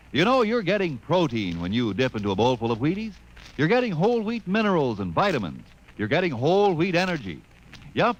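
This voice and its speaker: A man delivering an upbeat, folksy 1950s radio commercial as an announcer. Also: lo-fi sound. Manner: peppy, folksy sales pitch. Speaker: advertisement announcer.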